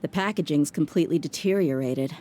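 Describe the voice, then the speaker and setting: Actress as world-weary young female mercenary for a game: low register, dry and exasperated, faint noise underneath. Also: fairly quick, warm tone, close to an everyday voice. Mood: wry. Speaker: voice actor. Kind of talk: acted video game dialogue.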